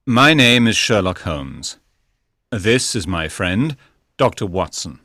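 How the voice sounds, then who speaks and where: A man acts out a courteous gentleman detective from a storybook. His voice sits low and moves with very lively intonation. He is measured, polite and reassuring, recorded close and dry. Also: dry recording, exaggerated voice. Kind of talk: dramatised audiobook reading.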